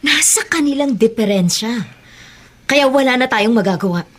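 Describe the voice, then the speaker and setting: An actress in a Filipino radio drama playing a stubborn, disillusioned elder sister, curt and reluctant. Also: female voice, a little high, lively intonation, normal speed, a bit bright. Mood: dismissive.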